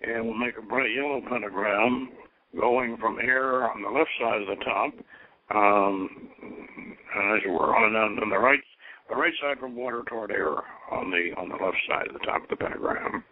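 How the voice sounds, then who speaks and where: A man leading a ritual as host, moving between informal instruction and solemn invocation in a halting, deliberate manner. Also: lowish voice, a little hiss or hum. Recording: narrow tinny phone-like radio sound.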